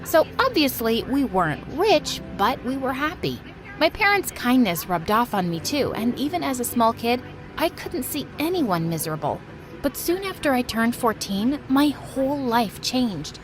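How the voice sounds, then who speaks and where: A woman playing a kind, nervous teenage girl who narrates her own story in an animated video. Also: high-pitched, moderate pace, poor audio. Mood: bright storytelling. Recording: music under the voice.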